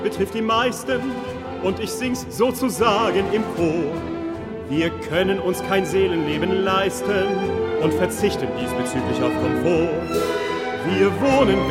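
An average city everyman in a radio play chanson. His high voice moves slowly in theatrical delivery over loud orchestral music. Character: average city man, plain spokesman of the majority. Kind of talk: theatrical chanson, spoken and sung.

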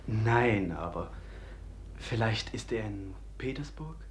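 A man's voice: on the low side, lively intonation, takes it slowly, slight background noise. A male actor in a German radio play, playing a cheeky but polite teenage messenger boy on the defensive.

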